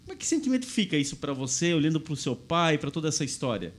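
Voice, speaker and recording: A man's voice: a little high, very animated, quick pace. A man hosting a podcast interview, asking long, winding questions in a formal way. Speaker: podcast host.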